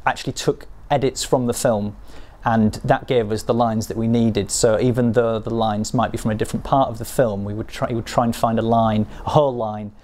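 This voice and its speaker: A man's voice: medium pitch, fairly even intonation, brisk, few pauses, a little mellow, a little hiss or hum. A male game developer being interviewed, who explains his work hesitantly with many fillers and restarts.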